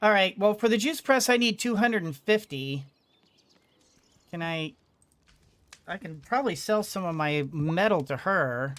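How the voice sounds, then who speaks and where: A woman's voice: on the low side, lively intonation, measured pace, pauses often. A woman giving casual let's play commentary, thinking aloud with hesitant phrasing as she goes. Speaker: gaming streamer.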